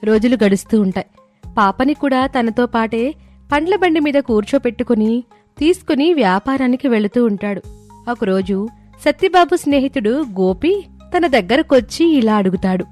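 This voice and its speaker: A woman's voice: a little high, expressive, normal speed, a little mellow, soft background music. A woman storyteller reading scripted narration for a moral story.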